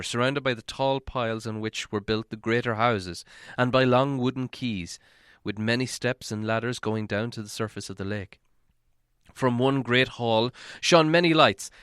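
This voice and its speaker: A man reading a fantasy story as an audiobook narrator, dramatic and expressive. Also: medium pitch, clean tone, dry recording, clean studio sound.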